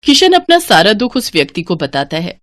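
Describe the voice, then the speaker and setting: Lady narrating a moral story, very lively intonation, dry clean studio-like sound. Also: medium pitch, brisk, no room sound.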